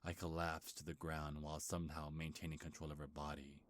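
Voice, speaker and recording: A man's voice: rumbling low, flat delivery, fairly quick, no room sound. A man playing an ancient, bitter, grieving beast king in a dramatic ASMR roleplay, brooding and menacing with heavy sighs. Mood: anguished.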